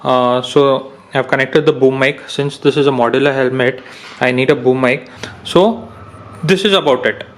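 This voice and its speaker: A young man explaining an unboxing and install step by step, with many pauses and fillers. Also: medium pitch, fairly even intonation. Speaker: motorcycle gear reviewer. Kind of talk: casual product unboxing and how-to.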